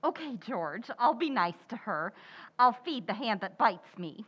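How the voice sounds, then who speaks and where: Woman playing an animated, high-voiced sarcastic radio housewife. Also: unhurried, muffled recording. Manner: wry, exaggerated delivery. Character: sarcastic, excitable housewife with a quick tongue. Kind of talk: old-time radio comedy acting.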